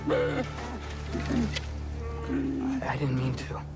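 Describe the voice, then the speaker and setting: A man's voice: medium pitch, sing-song, very slow, over loud music. A young male actor playing an earnest, restless teenage son of a warrior god in a video game, with a questioning, pleading manner. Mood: distressed.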